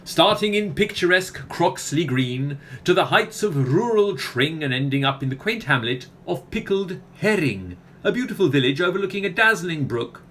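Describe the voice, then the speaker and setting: A man's voice: fairly high pitch, big pitch swings, normal speed, hardly any pauses, a bit bright. Radio comedy: male actor as self-important literary gent, grandiose and wordy, slight noise behind. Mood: grandly delighted. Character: pompous, self-important touring book author. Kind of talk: comic radio play acting.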